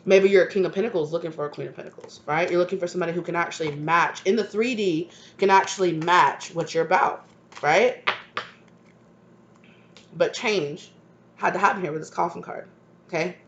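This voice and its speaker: A woman's voice: lowish voice, fairly quick, muffled recording, slight background noise. A woman with a stuffed-up voice from a cold, giving a channeled tarot reading to her viewers with affectionate asides and repeated "right?" tags. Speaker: tarot reader.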